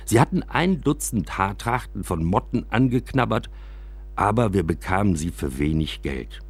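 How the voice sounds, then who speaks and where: Husky, highly expressive male storyteller. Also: normal speed. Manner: expressive, acts out quoted dialogue. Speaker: narrator and reader of a memoir. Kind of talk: scripted literary narration, first-person storytelling.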